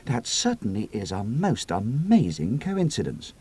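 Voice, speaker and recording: A man's voice: fairly low pitch, huge pitch swings, a little slow, warm tone, breathy edge, full character voice. A man reading the part of an easygoing pilot in a spoken story, with a casual, wry way of speaking. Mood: puzzled.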